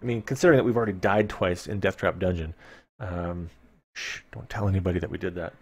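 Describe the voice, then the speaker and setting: A man's voice: low-pitched, fairly even intonation, fast, breathy edge. A man streaming a live read-aloud of a fantasy gamebook, dramatic in the narration and informal in his asides. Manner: playful, informal, dramatic when reading.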